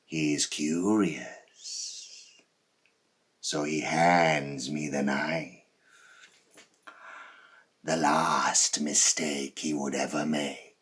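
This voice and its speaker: A man acts out a cold, boastful serial killer in a stage monologue. The voice is extremely deep and husky, and he speaks slowly and deliberately. There is menace in the dramatic pauses and swells. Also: fairly even intonation, a bit bright, heavily acted. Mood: menacing, hushed. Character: cold, boastful male psychopath serial killer telling his story.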